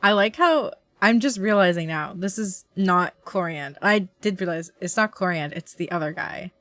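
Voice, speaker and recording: Lively, expressive woman streaming a game. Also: lowish voice, normal speed, no room sound, clean studio sound. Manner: playful, expressive. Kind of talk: casual livestream reactions.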